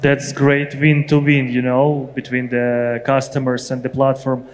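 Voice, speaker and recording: Slow-paced male interviewer in a noisy hall. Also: mid-range voice, completely flat delivery. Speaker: interviewer, government ministry representative. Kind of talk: on-stage interview questions. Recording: reverberant hall with background noise.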